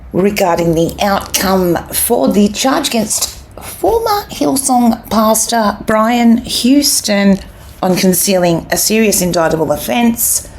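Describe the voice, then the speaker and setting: A woman hosting a podcast, giving a measured, deliberate spoken introduction. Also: lowish voice, darkish tone. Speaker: podcast host. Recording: clear background noise.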